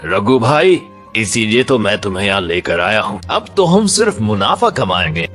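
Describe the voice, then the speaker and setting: Man as a greedy fruit hawker, low-pitched, animated, chummy, persuasive, cartoon story. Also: normal speed, faint music behind. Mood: persuasive, eager.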